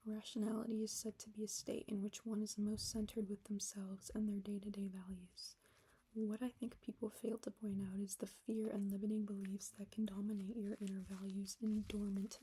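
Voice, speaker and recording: A young adult woman with a breathy, whispery voice, reading her own reflective writing aloud to viewers in a subdued monotone. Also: mid-range voice, low-quality recording. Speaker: vlogger.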